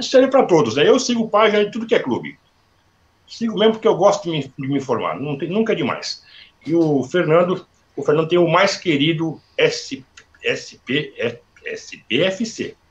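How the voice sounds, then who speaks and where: Guy hosting a live video call interview, talkative, reads sponsor plugs, kinda dull muffled audio. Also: fairly high pitch. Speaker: interview host.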